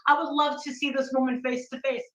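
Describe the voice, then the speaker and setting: A woman talks informally in a combative, defiant way. Her voice is high and sharp. The recording is echoey and slightly muffled. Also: level tone, brisk. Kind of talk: informal spoken remarks in a played clip. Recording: dull, echoey clip audio.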